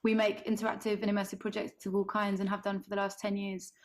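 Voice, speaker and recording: A woman introducing herself on a panel in a relaxed, conversational way over a video call. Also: mid-range voice, quick pace. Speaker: panelist, company founder.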